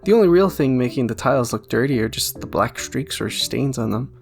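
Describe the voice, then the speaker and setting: Gravelly man rambling over background music. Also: medium pitch, lively intonation, fairly quick, darkish tone. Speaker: YouTube video essayist. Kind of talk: casual fan theory commentary.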